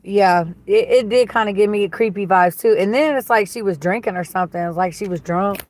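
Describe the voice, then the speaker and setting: A woman with a slightly raspy voice hosting a livestream, chatting casually and reading viewer comments in a blunt, gossipy way that is full of swearing. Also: medium pitch, a little fast, a little mellow, dry recording. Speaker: livestream host.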